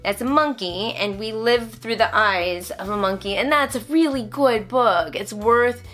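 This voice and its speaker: A woman in her thirties or forties in casual, animated conversation to camera, reviewing things and breaking off her own sentences, recorded in a room at home. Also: fairly high pitch, measured pace, soft background music. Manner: animated, conversational, self-interrupting. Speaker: vlogger and homeschooling mother. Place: small furnished room at home, indoors.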